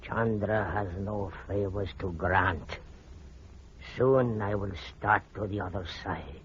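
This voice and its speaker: An actor playing a dying mystic and spiritualist, solemn and halting, in a radio horror play. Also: male voice, fairly low pitch. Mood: solemn, fading. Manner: solemn, halting, speaks of himself by name. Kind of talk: old-time radio horror acting. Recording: muffled, hissy old radio recording.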